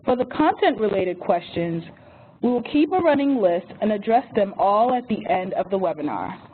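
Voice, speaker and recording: A woman's voice: mid-range voice, lively intonation. Woman reading webinar housekeeping from a script, tinny phone-line sound, faint hiss. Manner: reads phone number slowly and carefully. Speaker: webinar moderator. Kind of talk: read-out webinar introduction.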